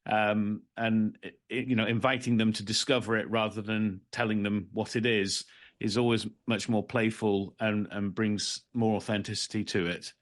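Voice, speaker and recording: A man's voice: on the low side, little pitch movement, moderate pace. Man casually moderating a group discussion. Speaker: podcast host and session moderator.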